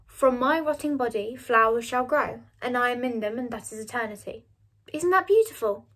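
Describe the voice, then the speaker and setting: A woman's voice: fairly high pitch, normal speed, fairly bright tone. A woman voicing an earnest, passionate and stubborn teenage girl in a story reading. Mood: rapt.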